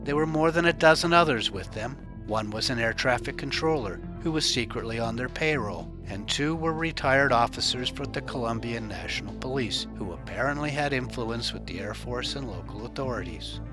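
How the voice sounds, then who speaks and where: A man narrating a scripted true-crime news report as its host, in a wry, colloquial storytelling manner with dramatic pauses. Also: medium pitch, lively intonation, over music.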